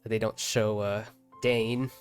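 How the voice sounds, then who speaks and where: A man doing casual reaction commentary as a streamer, halting and often repeating his phrases. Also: on the low side, flat delivery, smooth. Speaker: streamer reacting to game trailers. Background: game trailer music in the background.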